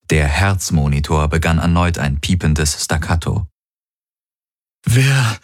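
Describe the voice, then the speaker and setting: A man's voice: very deep voice, no echo, clean studio sound, exaggerated voice. A man voicing a young man who has lost his memory, gasping and pleading in panic.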